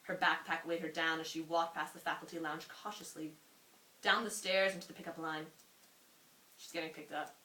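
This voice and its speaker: A woman's voice: low-pitched, flat delivery, normal speed, sharp tone. A woman reading a story aloud informally, as an amateur, prone to giggly asides. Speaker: amateur reader. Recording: roomy echo, faint hiss.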